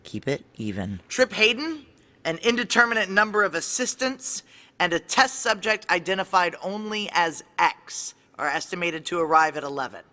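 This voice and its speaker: A blunt low-voiced female security chief. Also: very animated, normal speed, sharp tone. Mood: firm, commanding. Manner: blunt, wry, clipped. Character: tough, profane, sardonic female military security chief. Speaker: lead actor and narrator. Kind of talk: noir audio drama narration and dialogue.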